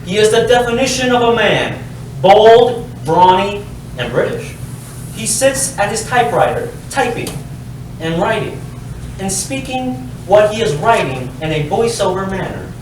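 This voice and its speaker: A man reading stage directions as scripted narration, with dramatic, deliberate phrasing. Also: sits high, animated. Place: live staged reading, echoey indoor space. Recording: echoey room, distant mic, faint noise.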